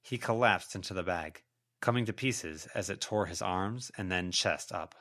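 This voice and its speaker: A man's voice: low-pitched, normal speed, fairly bright tone. A man reading scripted fiction as an audiobook narrator.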